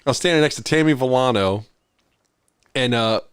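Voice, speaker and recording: A man's voice: mid-range voice, moderate pace, clean tone, no echo, clean studio sound. A middle-aged man co-hosting a podcast, chatting casually and telling stories in an informal way, acting out bits of dialogue. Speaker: podcast co-host. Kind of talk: casual chat, reminiscing.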